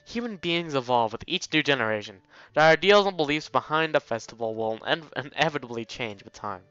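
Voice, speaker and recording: A man's voice: medium pitch, lively intonation, brisk, pushed and bright, clean tone, no echo, dull audio. A man reading a visual novel aloud casually, dropping in jokey commentary as a let's play host. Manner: jokey asides while reading.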